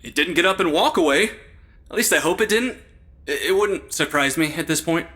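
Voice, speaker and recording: A man plays a wisecracking sleuth in a comedy audio drama. His voice is high for a man and has a sharp edge. The delivery is casual, jittery and sarcastic. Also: a little fast. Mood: alarmed.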